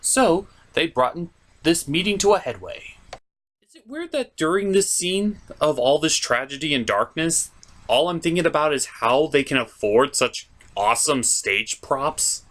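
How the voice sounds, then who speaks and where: A man acts out a theatrical gentleman puppet with a raised, swooping voice. He delivers scripted comic commentary as a review show host, exclaiming and easily exasperated. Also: fairly high pitch, expressive, a little slow. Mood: wry recapping.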